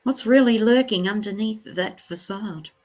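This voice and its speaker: Female reaction host, medium pitch, blunt and critical, commenting over someone else's video. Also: moderate pace, darkish tone. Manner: critical, disapproving, blunt interjections.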